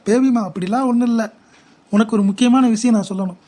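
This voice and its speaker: A woman's voice: a little high, normal speed, dark tone, natural voice. A woman voicing an envious, evasive middle-aged husband in a cartoon parody, grumbling and whining. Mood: wheedling, envious.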